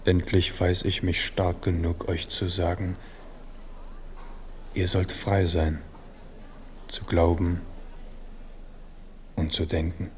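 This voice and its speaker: Thoughtful monarch, low, very even intonation, weighty pauses, faint noise, radio play. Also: male voice. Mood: solemn, wistful. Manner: intimate, weighty, pausing monologue. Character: ageing, reflective King Henri of France. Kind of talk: 1970s German radio drama acting.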